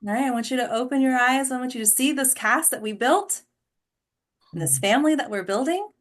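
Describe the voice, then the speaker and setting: A woman's voice: high-pitched, lively intonation, a little fast. A woman hosts an online group session with a mid-range voice. She speaks in a casual, motivational style, giving the group guidance and instructions over a video call. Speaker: host and director of an online script table read. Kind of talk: casual motivational group leading, guided gratitude exercise.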